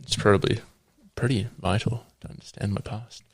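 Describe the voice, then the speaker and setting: Young New Zealand man, twenties, podcast guest telling his testimony, low voice, hesitant, dry close sound. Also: steady tone, normal speed, husky, no room sound. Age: 20s. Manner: hesitant, with frequent ums and laughs. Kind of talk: sharing a personal faith testimony.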